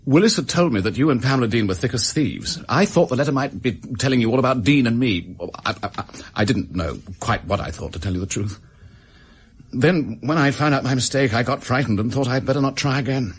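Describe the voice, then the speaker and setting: An actor with an English accent playing a desperate, bitter office worker making a halting confession in a radio drama. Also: male voice, medium pitch, expressive, slightly rough. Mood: shaken, frank.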